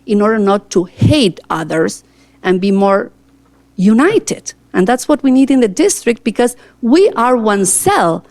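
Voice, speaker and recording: A woman's voice: medium pitch, sing-song, a little slow, darkish tone. A talkative, earnest woman from Argentina with an Argentine Spanish accent, answering a school board's interview questions. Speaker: board seat candidate.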